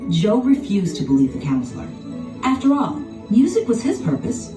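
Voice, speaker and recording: A woman narrating a children's storybook in an expressive, dramatic scripted style. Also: mid-range voice, normal speed. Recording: roomy, distant sound with music behind.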